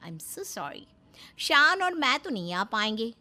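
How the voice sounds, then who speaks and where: Female actor as haughty young mother, vain, condescending, animated swooping pitch, sharp tone, English phrases mixed in, cartoon story. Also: takes it slowly, exaggerated voice. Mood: haughty scorn. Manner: condescending, sprinkles English phrases into her talk. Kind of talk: Hindi cartoon story acting.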